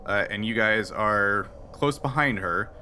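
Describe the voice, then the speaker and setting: A man's voice: on the low side, a little slow, sharp tone, a little hiss or hum. A man narrating and refereeing a tabletop roleplaying game in an easygoing, conversational way. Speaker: game master. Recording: background music under the voice.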